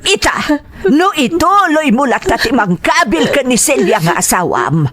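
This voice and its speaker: Woman playing a high-pitched scolding mother. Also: sing-song, moderate pace, fairly bright tone, slight background noise. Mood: indignant, scolding. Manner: scolding, firm. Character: indignant, protective mother-in-law standing up to a bully. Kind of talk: dramatized audio drama acting.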